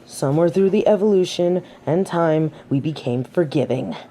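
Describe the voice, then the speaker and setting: A woman's voice: deep voice, normal speed, warm tone, a little hiss or hum. A woman playing a proud, haughty fallen angel of judgement in a scripted audio roleplay, dramatic and defensive. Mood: solemn, disdainful.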